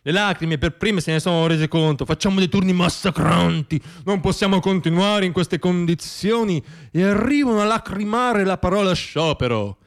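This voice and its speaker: A man performing a theatrical radio monologue in an expressive, declamatory way, moving between poetic reflection and wry irony. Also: high-pitched.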